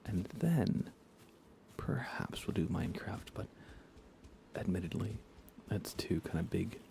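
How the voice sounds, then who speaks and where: A soft-spoken man chatting casually on an ASMR gaming livestream, relaxed and often slipping into a whisper. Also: low voice, a little slow, gravelly, slight background noise. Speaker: livestreamer and host.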